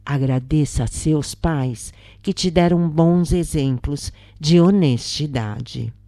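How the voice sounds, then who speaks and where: A Brazilian woman voicing a calm, admonishing female spiritist counselor in a story, solemn and instructive. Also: sits low, takes it slowly, no room sound, studio quality. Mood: reassuring, instructive. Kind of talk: storybook narration dialogue.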